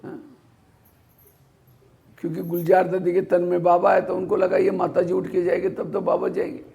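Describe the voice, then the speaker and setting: A man's voice: high voice, completely flat delivery, normal speed, vocal fry, dark tone. A man giving a spiritual class, telling anecdotes and asking rhetorical questions that he answers himself. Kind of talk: Hindi spiritual discourse.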